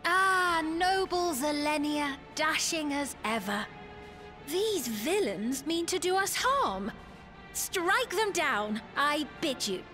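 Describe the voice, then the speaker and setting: A woman's voice: high voice, lively intonation, slow, pushed and bright, soft background music, exaggerated voice. A woman playing an artificial queen, a politely commanding villainess with airy manners, in a video game cutscene. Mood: sweetly imperious.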